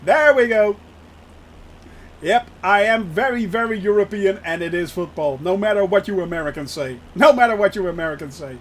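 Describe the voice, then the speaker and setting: A man's voice: sits high, normal speed, fairly bright tone, slight background noise. A Dutch man, native Dutch speaker, giving chatty live-stream commentary while flying a sim and answering his chat with frequent laughs. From Netherlands.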